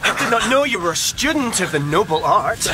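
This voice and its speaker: An actor playing a sly, aggressive young gentleman, mocking and falsely polite, in a radio drama. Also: high voice, big pitch swings, fairly quick, soft background music, full character voice, rough recording. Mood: taunting.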